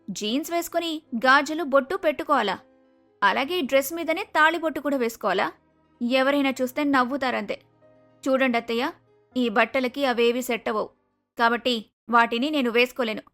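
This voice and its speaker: A woman playing an arrogant, lazy young daughter-in-law who talks back curtly in an animated moral story. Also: sits high, a little fast, long pauses, soft background music. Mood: indignant. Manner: curt, dismissive, argumentative.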